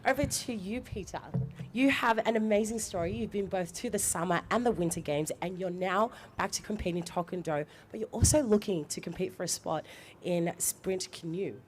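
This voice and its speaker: A woman's voice: mid-range voice, normal speed, few pauses, a little hiss or hum. A woman hosting a live event and interviewing guests on stage in an upbeat, encouraging manner. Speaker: event host interviewing athletes.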